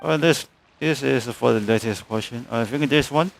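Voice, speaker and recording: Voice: medium pitch, moderate pace, vocal fry, low-quality recording. A game streamer making short, casual off-the-cuff remarks while playing.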